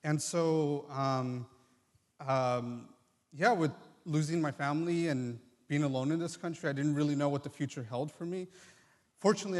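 Male guest speaker, 20s, Triqui first language, medium pitch, sincere hesitant testimony in a reverberant hall. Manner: halting, earnest. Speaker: guest speaker, medical student. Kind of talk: personal testimony to congregation. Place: large church room, live address.

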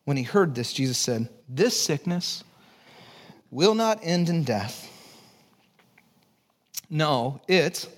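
A man with a Texan accent preaching a conversational, animated sermon, dropping to hushed low lines and jumping into lively high asides. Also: slow, pauses often. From Texas. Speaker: pastor. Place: church sanctuary.

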